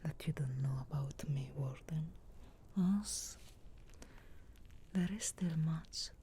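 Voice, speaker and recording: A woman voicing a sarcastic, guardedly caring witch of the wilds in a slow, breathy whisper for an ASMR fantasy roleplay. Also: sits low, warm tone, slight background noise. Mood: reflective, guarded. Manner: slow, measured, archly formal phrasing.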